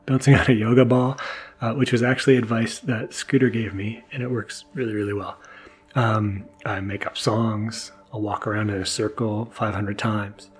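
Male podcast host, lowish voice, soft-spoken and hesitant, casual bedtime monologue with faint music behind. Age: adult, new father. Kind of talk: casual, intimate monologue to listeners. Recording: mellow music underneath. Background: soft mellow music.

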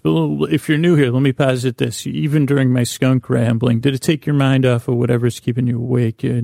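A man hosting a bedtime podcast in a creaky, gravelly voice, rambling in a lulling, halting way with frequent stammers and self-interruptions. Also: mid-range voice, darkish tone, dry recording, clean studio sound. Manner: lulling, soothing. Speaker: podcast host. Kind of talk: rambling bedtime monologue to put listeners to sleep.

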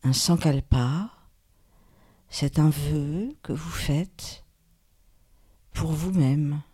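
A woman guides a relaxation meditation in a calm, soothing manner, leaving long pauses. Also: sits low, slightly rough. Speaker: yoga nidra guide, host.

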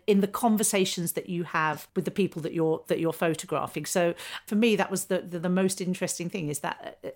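A fifty-year-old woman, hosting a podcast and introducing guests in an encouraging way. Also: fairly low pitch. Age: 50s. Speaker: podcast host. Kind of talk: casual interview. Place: a home, indoors.

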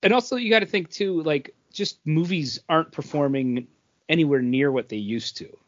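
A man's voice: a little high, normal speed. A man co-hosting a podcast in casual, conversational chat. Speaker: podcast co-host. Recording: dull, lo-fi audio.

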